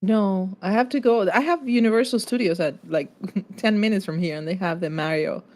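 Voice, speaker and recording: A woman's voice: mid-range voice, normal speed, darkish tone. A woman from Argentina, a native Spanish speaker with an Argentine accent, chatting as a podcast guest. She is a little tipsy and giggles often while she talks. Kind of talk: casual chat.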